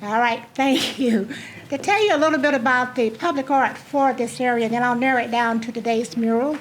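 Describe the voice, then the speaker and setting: A high, soft voice from a woman addressing a crowd at a public ceremony, warm in its storytelling. A faint hum of the event hangs behind her words. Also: brisk, rough recording. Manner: heartfelt, storytelling. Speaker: program coordinator speaking at a ribbon cutting. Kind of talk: speech to an audience.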